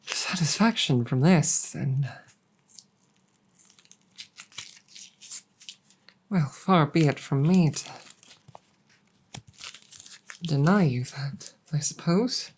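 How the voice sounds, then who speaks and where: Woman voicing a proud dragoness girlfriend, low-pitched, slow and halting, reserved, formal, fond, intimate ASMR roleplay. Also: slight background noise. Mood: grudging, bashful. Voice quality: husky, breathy. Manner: formal wording, hesitant, halting, soft sighs.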